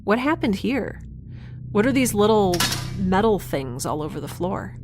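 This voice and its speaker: A woman's voice: medium pitch, big pitch swings, unhurried, over music. A woman in an audio drama playing a plucky, awkward young adventurer, talking casually with dry humour. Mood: wry, upbeat. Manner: casual, rambling, dryly humorous. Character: plucky, wry, awkward young woman scientist-adventurer narrating her log. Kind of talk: naturalistic audio drama acting.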